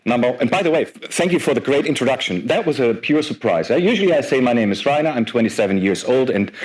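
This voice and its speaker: A man in his forties giving a lively conference talk as guest speaker, chatty and self-deprecating with many fillers. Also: medium pitch, quick pace, low-quality recording. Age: 40s.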